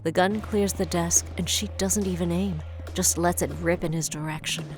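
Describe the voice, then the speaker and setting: A woman's voice: lowish voice, fairly even intonation, normal speed, background noise. A woman delivering dramatic scripted narration for an audio drama.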